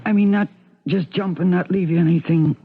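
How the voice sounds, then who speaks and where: A woman's voice: on the low side, steady tone, slight background noise, lightly acted. Woman acting distraught, woozy wife, thin radio sound. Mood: woozy, insistent. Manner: halting, rambling, self-questioning. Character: distraught suicidal wife, drowsy, bitterly flippant. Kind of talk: 1970s radio drama acting. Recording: muffled, thin 1970s radio broadcast.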